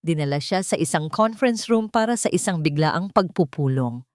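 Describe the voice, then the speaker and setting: A woman's voice: on the low side, lively intonation, moderate pace, darkish tone, no echo, studio quality. A woman with a Filipino accent narrating a scripted romantic drama as a storyteller.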